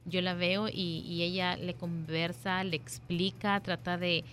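A woman's voice: on the low side, little pitch movement, a little slow, fairly bright tone, faint music behind. A woman from an insurance agency explaining coverage in a patient, direct way. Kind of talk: explanatory talk.

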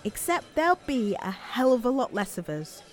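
A woman's voice: a little high, sing-song, normal speed, background noise. An actress playing a hopeful, blunt, determined young homeless woman with a British accent in an audio play. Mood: firm, frustrated. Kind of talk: audio play dialogue and narration.

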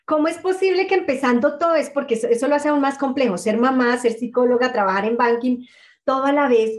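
A woman hosts an interview with lively enthusiasm, firing off several questions in a row. Her voice sits high in pitch. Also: fast. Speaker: host. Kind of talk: conversational interview.

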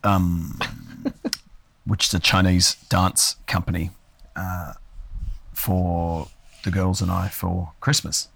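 A man with a croaky, hoarse voice from a cold, chatting casually with dry, wry humor. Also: sits low, level tone, slow, pauses often, fairly bright tone, no room sound. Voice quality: croaky, gravelly voice. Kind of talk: casual chat. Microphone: held too far from the mic.

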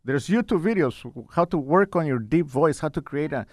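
Playful young man, lively pitch. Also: normal speed, no room sound, studio quality. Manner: playful, easily defensive. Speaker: podcast co-host. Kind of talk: casual chat.

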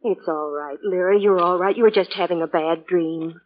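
An actress playing a soothing, concerned sister in a 1940s radio drama. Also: female voice, lowish voice, moderate pace, close to an everyday voice. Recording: muffled old radio recording.

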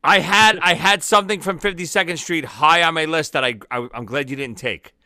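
A chatty man on a podcast, talking with a bright, forceful tone and a fairly high voice. He laughs easily and talks in a loose, friendly way. The sound is dry and close. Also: fairly quick, no room sound. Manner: laughing, chatty, a bit nervous. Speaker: podcast co-host. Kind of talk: casual chat.

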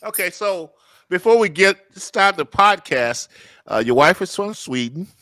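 Halting man hosting a podcast. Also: high voice, expressive, pushed and bright, no echo. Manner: halting, many uh fillers. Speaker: podcast host. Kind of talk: casual podcast interview.

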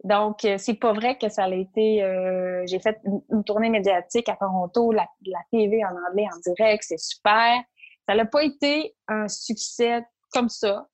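Animated Québécois woman entrepreneur. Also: mid-range voice, moderate pace. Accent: Québécois French. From Quebec. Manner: lively, animated storytelling, quotes her own inner voice. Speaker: entrepreneur guest. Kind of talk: interview conversation.

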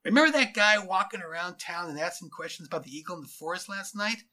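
A storybook narrator voicing a town policeman with a brisk, questioning, businesslike manner. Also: medium pitch, pushed and bright, voice pushed up, close to an everyday voice. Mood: puzzled. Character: town policeman, puzzled then businesslike, adult man. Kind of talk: dramatized storybook character voice.

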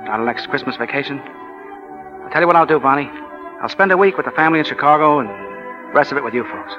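A man's voice: mid-range voice, animated, quick pace, highs cut off, music in the background, lightly acted. An actor playing an eager, earnest young college man in 1940s radio drama style, plain-spoken and self-effacing, on a vintage broadcast recording. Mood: earnest, tender. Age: young adult. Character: eager young college football player turned chemistry teacher, earnest.

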